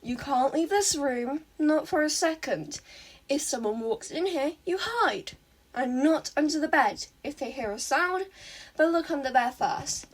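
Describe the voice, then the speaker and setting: A woman's voice: high-pitched, expressive, unhurried. A woman voicing a wary, exasperated teenage girl hero in a story reading, firm and bossy. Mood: stern, wary. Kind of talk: fan fiction read aloud.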